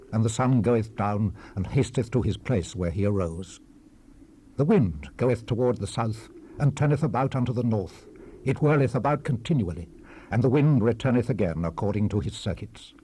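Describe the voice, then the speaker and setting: An actor playing a grave, world-weary preacher-king of ancient Israel in a dramatized scripture recording, declaiming in a solemn, measured, theatrical manner. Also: medium pitch, dark tone, close to an everyday voice. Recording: unprocessed 1978 cassette rip with hiss.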